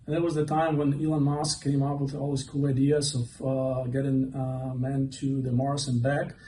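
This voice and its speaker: A man's voice: mid-range voice, level tone, normal speed, dark tone, a little hiss or hum. A man in his forties from Ukraine giving a conference talk as a presenter, hesitant and full of fillers. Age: 40s. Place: event hall. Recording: echoey hall, mic from far off.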